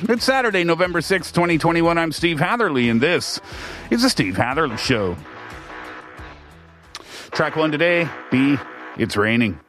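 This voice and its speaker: A man's voice: fairly high pitch, lively intonation, normal speed, long pauses, over music. A man from the East Coast of Canada hosting a radio show from a studio, explaining steadily with the odd filler. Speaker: radio host. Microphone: studio mic.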